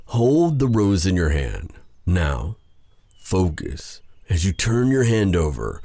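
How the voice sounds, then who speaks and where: A man playing a feared dark sorcerer with a tender side, in a courtly, romantic fantasy ASMR roleplay style. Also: sits low, breathy edge, dry recording, exaggerated voice. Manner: courtly, formal phrasing with endearments. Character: feared dark sorcerer, tender and flustered toward his captive. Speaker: voice actor in audio roleplay.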